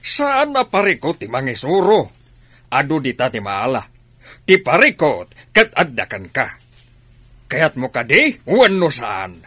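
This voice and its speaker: Animated, husky, bossy father acted by a man. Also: mid-range voice, takes it slowly, no room sound, heavily acted. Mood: insistent. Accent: Filipino. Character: insistent, bossy middle-aged well-off father. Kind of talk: Filipino radio drama acting. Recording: dry studio with music underneath. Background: music.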